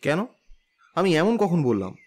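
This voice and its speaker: A man's voice: on the high side, unhurried. A man playing a smug young man in an audio story, teasing his family with a cocky manner. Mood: defensive. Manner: teasing, lively. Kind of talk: Bengali audio story acting.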